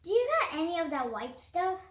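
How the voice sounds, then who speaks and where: A woman's voice: extremely high pitch, very animated, a little fast, fairly bright tone, heavily acted. A young female reader voicing cheeky, pestering little kid creatures from a comic book, silly and demanding. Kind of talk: comic book read-aloud. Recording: roomy echo, faint music behind.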